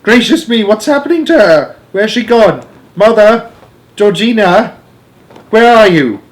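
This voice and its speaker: A man voicing a distraught, wailing middle-aged woman in a storybook, shrill and full of exclamations. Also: sing-song, measured pace. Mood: frantic alarm.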